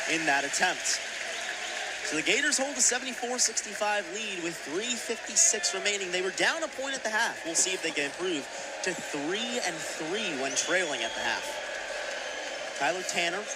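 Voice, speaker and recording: A young man calling basketball play-by-play on the radio in clipped, excitable phrases. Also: high voice. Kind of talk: live sports commentary. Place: courtside in an indoor basketball arena. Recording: loud arena ambience and noise.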